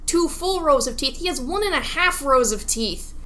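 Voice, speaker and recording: A woman co-hosting a casual, comic game discussion, animated and playfully incredulous, landing her points with emphasis. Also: high-pitched, moderate pace, slight background noise. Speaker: podcast co-host.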